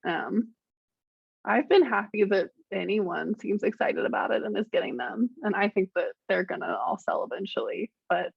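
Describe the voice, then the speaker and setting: A soft-spoken woman, a musician guest in a casual interview, talking hesitantly with many fillers and restarts. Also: mid-range voice, moderate pace, gravelly, slightly rough, no room sound, muffled recording. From Richmond, Virginia, United States.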